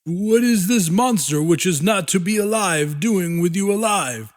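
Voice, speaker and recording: A man's voice: sits high, lively intonation, unhurried. A man voicing a short, stocky, spike-headed raider leader with a warbling, stilted, commanding way of talking. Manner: warbling, oddly stilted formal phrasing.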